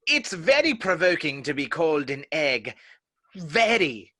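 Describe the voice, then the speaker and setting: A man's voice: on the high side, sing-song, a little slow, bright, forceful tone, heavily acted. A man playing a haughty, touchy, pedantic egg character in a dramatic reading of a children's classic. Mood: haughty, provoked.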